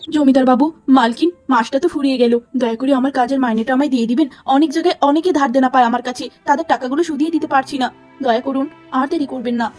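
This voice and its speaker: A woman voicing a kind, gentle, poor elder sister who speaks softly and with care. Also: sits high, fast, faint music behind. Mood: pleading. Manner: soft, pleading, caring.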